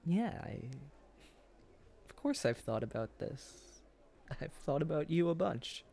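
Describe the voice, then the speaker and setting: A man playing a tipsy, earnest young man wooing his old sweetheart in a boyfriend roleplay, speaking casually and haltingly. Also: a little high, big pitch swings, vocal fry, mellow, a little hiss or hum, heavily acted. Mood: earnest, wistful. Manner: halting, tender, casual, often chuckling. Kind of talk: boyfriend ASMR roleplay acting.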